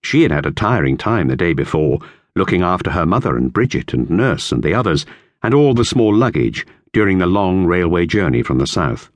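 A man narrates a classic children's book in a low voice with lively, expressive intonation. His storytelling is measured, and the recording is close and dry. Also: dry recording. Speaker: audiobook narrator.

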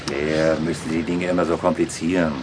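A man acting in a German audio drama as a work-shy chief inspector, gruff, wry and resigned. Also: very deep voice, level tone, a little fast, background noise. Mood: grumbling.

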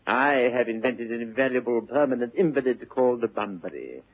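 An actor playing a witty, idle young gentleman with an upper-class English accent, arch and mock-serious in a vintage theatrical comedy style. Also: medium pitch, expressive, brisk. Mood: knowing, amused. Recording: dull, muffled old radio recording.

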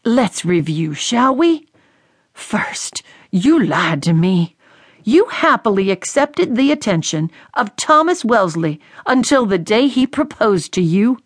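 Enraged stern old captain father, accusing and loud, put on by a woman narrator; audiobook. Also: medium pitch, sing-song, slow pace, dry recording, clean studio sound. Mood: furious. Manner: shouting, accusing. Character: furious aging male sea captain, stern father.

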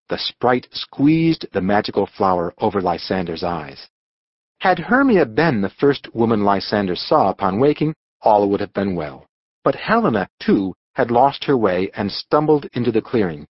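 A man narrating a scripted storybook audiobook with dramatic, expressive delivery. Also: medium pitch, normal speed, slightly rough, no room sound, highs cut off.

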